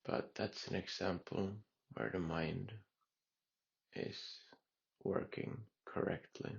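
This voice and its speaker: A man guiding a meditation in a calm, unhurried way with long pauses. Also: sits low, creaky, husky, highs cut off. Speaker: meditation guide. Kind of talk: guided meditation.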